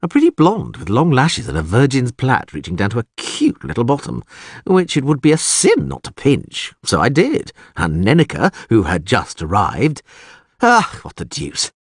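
Male reader as flamboyant storybook poet, playful and boastful, very animated, slightly husky. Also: medium pitch, moderate pace, dry recording, clean studio sound, pitched above the performer's own voice, heavily acted. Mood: cheerful, chatty. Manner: theatrical, exaggerated, playful. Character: flamboyant, cheeky male troubadour, boastful and jovial.

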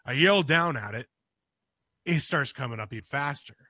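A man's voice: mid-range voice, expressive, moderate pace, no room sound, clean studio sound. A man co-hosting a podcast, telling a personal story casually, rambling with many restarts and fillers. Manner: rambling, full of restarts and "you know"; gets louder and more urgent as the story builds. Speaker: podcast co-host.

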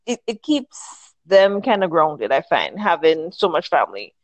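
A woman in her thirties from the Caribbean, a podcast guest speaking in a thoughtful, measured way with frequent restarts. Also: on the low side, very animated, no echo. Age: 30s. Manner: thoughtful, measured, many restarts while finding words. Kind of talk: casual interview chat.

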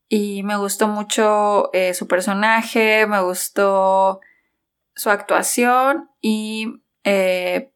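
A woman speaks as a co-host in a relaxed conversational review. Her pitch is average for a woman. She phrases things loosely and informally, thinking aloud as she talks. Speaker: movie review podcast co-host. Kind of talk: casual conversational film review.

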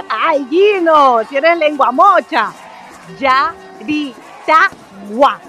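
A woman's voice: high-pitched, sing-song, drawn-out words, pushed and bright, soft background music, heavily acted. An actress playing a naive young Venezuelan girl in love in a radio soap, coy and colloquial, with a Venezuelan accent. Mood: giggly. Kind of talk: radio soap opera acting.

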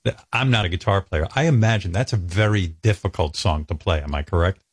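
A man's voice: sits low, brisk, breathy edge, dry recording, clean studio sound. An older man hosting an interview, asking rambling, self-deprecating questions. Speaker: talk show host.

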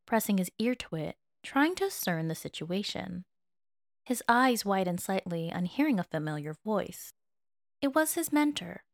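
A woman's voice: mid-range voice, vocal fry, dry recording, clean studio sound. A woman narrating fan fiction as a dramatic audiobook.

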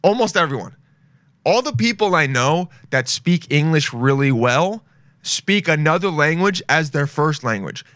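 A man's voice: on the high side, animated, a little slow, fairly bright tone, dry recording. A young man hosting a casual podcast, rambling and joking as he browses shopping sites and reads reviews aloud. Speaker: podcast host.

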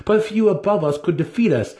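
A man playing a confident, boastful male dark elf elder brother, shrewd and proud, in a fantasy storybook reading. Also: sits high, lively intonation, brisk, voice pushed up.

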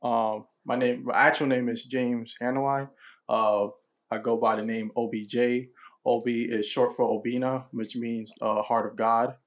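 A young man from New York hosting a casual podcast, talking in a relaxed way with lots of ums. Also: mid-range voice, moderate pace. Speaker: podcast host.

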